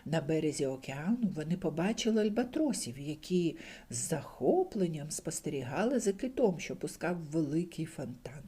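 Husky grandmotherly storyteller, lively and warm. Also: moderate pace. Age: older. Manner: lively, voices characters with high excited pitch and soft whispers. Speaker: storyteller reading a bedtime tale. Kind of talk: expressive bedtime story reading.

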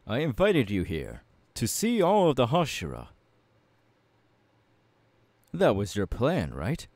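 A man playing a confident, fiery anime swordsman mentor in an ASMR audio roleplay, talking to the listener with measured, dramatic pauses. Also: medium pitch, darkish tone, no room sound, exaggerated voice. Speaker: voice actor.

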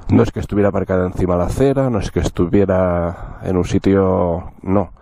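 A man's voice: low voice, fairly even intonation, a little fast, creaky, warm tone, dry recording. A man talking casually to the camera outdoors, wearing a motorcycle helmet, telling his story with wry asides to viewers. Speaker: motovlogger. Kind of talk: casual vlog talk to camera.

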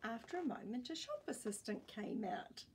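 An older woman reading a picture book aloud expressively, pausing to put questions to her listeners. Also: on the high side, big pitch swings, a little hiss or hum. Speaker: children's storytime reader. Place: small indoor room with bookshelves.

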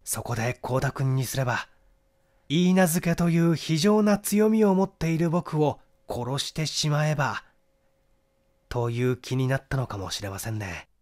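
A man voicing an earnest young gentleman in an audiobook, reasoning things out in a confessional manner. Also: medium pitch, expressive, a little slow, lightly acted. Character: earnest young gentleman explaining a bitter love rivalry. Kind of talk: dramatized audiobook dialogue.

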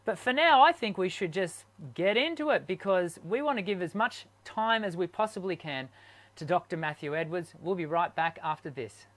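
A man's voice: high voice, animated, moderate pace, fairly bright tone. Chatty, upbeat male host on a webcam. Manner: enthusiastic, chatty with many fillers. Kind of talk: live online interview. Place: home studio. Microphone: webcam on video call.

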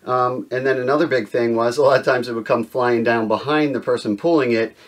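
A man's voice: mid-range voice, fairly even intonation, fairly quick. A man in his thirties giving a casual, conversational hands-on explanation to camera, in an informal way. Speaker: host of a DIY outdoor gear channel. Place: furnished indoor gear room at home.

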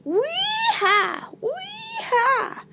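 Casting: boisterous storybook monkey, very high, shrill, yelping and loud. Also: female voice, expressive, very slow, slight background noise, heavily acted. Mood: gleeful whooping. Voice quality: shrill whooping. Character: exuberant swinging monkey, whooping and yelping. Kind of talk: children's picture-book read-aloud.

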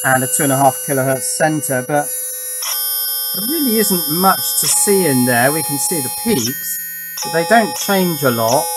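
A man's voice: a little high, low-quality recording. A man giving an unscripted technical explanation in a musing, methodical way, as the presenter of an electronics tutorial. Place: indoors at a workbench.

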